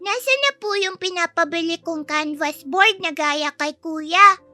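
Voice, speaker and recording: Casting: tiny spoiled princess in an audio story, extremely high-pitched, bubbly and pleading, very dry sound. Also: female voice, measured pace, sharp tone, no room sound, faint music behind, full character voice. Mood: sweet, eager. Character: bubbly, demanding three-year-old girl, doted-on little princess.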